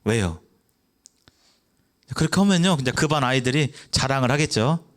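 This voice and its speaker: A man's voice: expressive, moderate pace, fairly bright tone. A young man preaching an evangelistic sermon. He keeps asking the congregation questions and answers them himself. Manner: acts out children's voices in a brief high voice.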